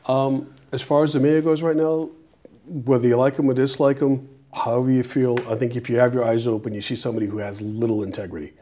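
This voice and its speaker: A middle-aged man from Peru, a mayoral candidate answering interview questions in a small TV studio on a lapel mic, earnest and halting with restarts. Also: mid-range voice, flat delivery, warm tone.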